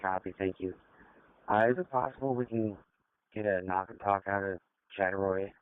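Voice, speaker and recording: A policeman with a deep, resonant voice trades short messages on the radio. The sound is dry and thin, like narrowband scanner audio from a two-way radio. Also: moderate pace, clean tone, dry recording. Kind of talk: radio traffic exchange.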